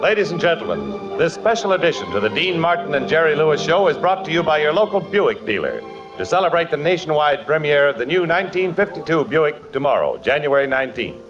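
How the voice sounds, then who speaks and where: A man's voice: fairly high pitch, sing-song, a little fast, dull audio. A man working as a 1950s radio announcer, reading sign-offs and sponsor messages on an old broadcast. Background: loud music.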